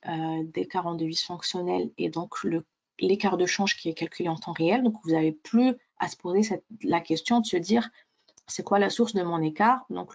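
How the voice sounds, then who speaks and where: A woman giving a webinar software demonstration, explaining step by step as the presenter. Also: mid-range voice, no room sound.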